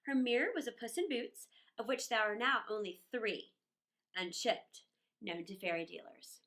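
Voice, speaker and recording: A woman reading a children's story aloud in an expressive, playful, theatrical manner with chatty asides. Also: on the high side, moderate pace.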